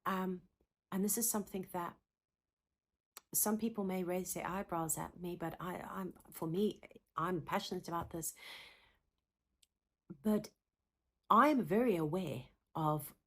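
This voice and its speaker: A woman's voice: fairly low pitch, measured pace, long pauses. A woman in her thirties with a South African accent, a psychotherapist giving an earnest, passionate teaching talk to camera and repeating words for emphasis. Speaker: counsellor and psychotherapist.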